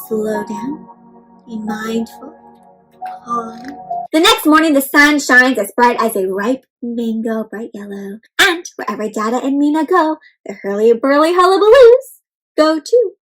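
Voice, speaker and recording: A woman hosting an interactive picture-book read-aloud for young children, expressive and speaking directly to her listeners with questions. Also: fairly high pitch, unhurried, breathy edge, faint music behind, rough recording.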